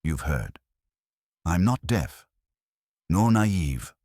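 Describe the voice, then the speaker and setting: A man's voice: deep voice, very animated, drawn-out words, darkish tone, no room sound, studio quality, exaggerated voice. A man voicing a cold, defiant suspect in a detective audio drama, clipped, guarded and scornful. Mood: cool, guarded. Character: cold, smug, defiant male villain under suspicion.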